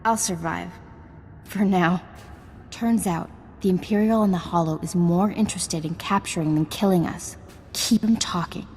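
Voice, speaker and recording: A woman playing a composed, resolute Jedi master in a video game, speaking in a measured, earnest and authoritative way. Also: on the low side, a little mellow, a little hiss or hum, close to an everyday voice. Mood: strained calm. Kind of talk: scripted video game acting. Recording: game music behind.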